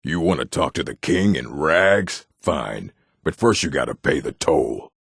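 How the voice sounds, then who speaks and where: Very deep, raspy, surly orc. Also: male voice, animated, measured pace, a bit bright, dry recording, studio quality, exaggerated voice. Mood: menacing, grudging. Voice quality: gravelly, raspy. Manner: curt, brusque. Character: surly male orc, blunt and domineering. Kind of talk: video game character acting.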